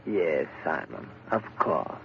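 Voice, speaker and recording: An actor playing a calm, probing doctor in a 1940s radio drama, speaking in a measured, soothing way with hesitant pauses. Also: male voice, rumbling low, gravelly, exaggerated voice. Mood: grave, soothing. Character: calm, probing male doctor of mental patients. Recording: noisy, muffled 1940s radio recording.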